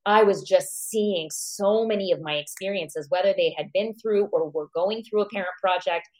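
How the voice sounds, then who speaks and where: A woman's voice: mid-range voice, normal speed, a little mellow, low-quality recording. A woman born in Iran and raised in America, a psychologist guest, reflecting on her life and thinking aloud with questions to herself. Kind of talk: reflective personal storytelling.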